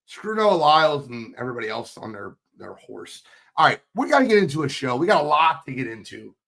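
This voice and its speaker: Hoarse, animated man talking sports. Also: mid-range voice, brisk. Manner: animated, teasing, fired up. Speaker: podcast co-host. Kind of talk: casual sports chat.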